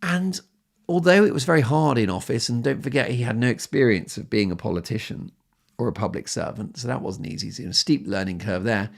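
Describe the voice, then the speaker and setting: A man's voice: mid-range voice, vocal fry. A man hosting a podcast in a chatty solo monologue, speaking emphatically and with opinion, often building his points up in lists. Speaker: podcast host.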